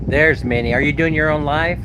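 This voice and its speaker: A man's voice: fairly high pitch, fairly even intonation, fairly quick. Guy w a bit of a cold, kinda nasal, rambling live on his phone, tons of wind and boat noise. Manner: chatty, self-mocking, with frequent restarts. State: slight head cold, a little nasal. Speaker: vlogger hosting a live stream. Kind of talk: casual live-stream chat. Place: outdoors on a ferry deck. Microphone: phone held at arm's length. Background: wind and ship engine.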